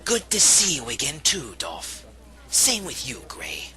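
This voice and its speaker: A man's voice: mid-range voice, huge pitch swings, a little slow, sharp tone, full character voice. A man playing an earnest, polite teammate in an audio drama, with careful, slightly formal phrasing. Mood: earnest, friendly. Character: earnest, polite male teammate, reformed from stiffness.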